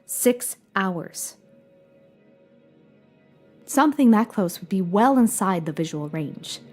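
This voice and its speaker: A woman playing a matter-of-fact space freighter captain, giving a measured official report in a scripted audio drama. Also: mid-range voice, animated, darkish tone, soft background music. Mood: wondering, recounting. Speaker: narrator of a fiction podcast. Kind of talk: scripted first-person audio drama narration.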